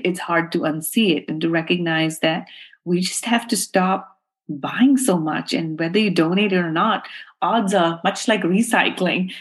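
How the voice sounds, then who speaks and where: A middle-aged woman from Pakistan, a guest speaking earnestly and passionately in an interview, often saying "you know". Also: lowish voice. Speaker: guest, fashion activist. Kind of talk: interview answers.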